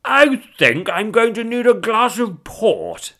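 A man's voice: sits high, very animated, slow pace, pushed and bright, pitched above the performer's own voice, full character voice. A boisterous husband played by a man reading a comic story, boyish and theatrical. He shows off in a raised, exclamatory voice that swoops about. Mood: playful. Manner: theatrical, exclamatory, sing-song.

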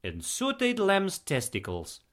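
A man's voice: high-pitched, huge pitch swings, a little slow, fairly bright tone, voice pushed up, exaggerated voice. A man voicing a polite, proud young male visitor with an Eastern European accent in a comic story. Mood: proud, earnest. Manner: courteous, earnest, foreign phrasing.

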